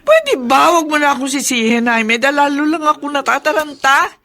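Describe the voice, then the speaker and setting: A woman's voice: sits high, animated, brisk, sharp tone, heavily acted. A woman playing a forgetful, flustered wife in a radio drama. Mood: panicked. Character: forgetful, flustered wife who lost her money.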